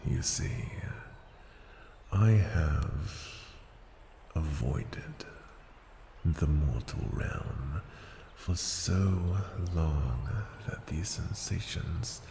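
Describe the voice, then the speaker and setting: An ancient dragon, played by a man, speaks in a very deep, gravelly voice, drawing out each phrase extremely slowly. He is haughty and possessive, tenderly menacing in a formal, intimate fantasy ASMR roleplay, often sinking toward a breathy whisper. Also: animated, dark tone, reverberant, slight background noise, exaggerated voice. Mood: wistful, reflective. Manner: slow, deliberate, formal, condescending, often dropping to a breathy whisper.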